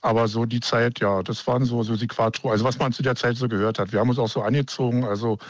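A man's voice: medium pitch, fairly even intonation, quick pace, dry recording, dull audio. A man, calling in and eagerly chatting about his youth. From Berlin. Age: 60s. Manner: enthusiastic, reminiscing freely. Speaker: caller. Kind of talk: phone-in chat.

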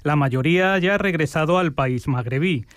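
A man reading radio news bulletins in a clear, measured way from a studio. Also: a little high, no room sound. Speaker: news anchor. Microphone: studio microphone.

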